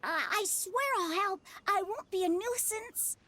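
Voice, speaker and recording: A woman voicing an earnest little village boy in an anime game dub, childlike and halting as he speaks. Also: very high-pitched, bright, forceful tone, exaggerated voice. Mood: pleading.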